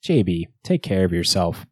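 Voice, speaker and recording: A man's voice: sits low, very animated, moderate pace, no room sound, studio quality. A man co-hosting a podcast, bantering jokily and running through a recap of events in a casual way. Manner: jokey, bantering. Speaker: podcast co-host.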